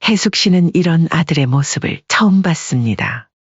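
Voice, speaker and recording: A woman narrates a scripted family drama as an audiobook. Her voice is husky and mid-pitched. The recording is dry and slightly muffled. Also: animated, normal speed, dry recording. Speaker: story narrator. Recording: dull lo-fi audio.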